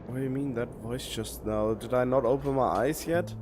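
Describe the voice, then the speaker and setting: Casual male streamer, medium pitch. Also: steady tone, moderate pace, noisy background. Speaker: game streamer. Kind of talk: casual live gameplay commentary.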